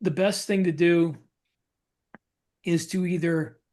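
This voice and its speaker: Man, slightly high voice, podcast co-host, casual explanation, halting, reworked phrases. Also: fairly even intonation, darkish tone. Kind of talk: casual discussion of writing military science fiction.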